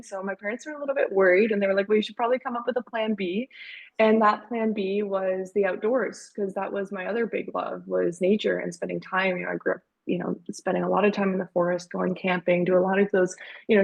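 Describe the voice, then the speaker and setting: A woman's voice: mid-range voice, vocal fry, slightly rough, low-quality recording. A woman in her thirties, raised in Canada with Dutch as a first language, telling her career story as a guest on a webinar chat with many fillers. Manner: warm storytelling, frequent fillers. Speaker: guest author.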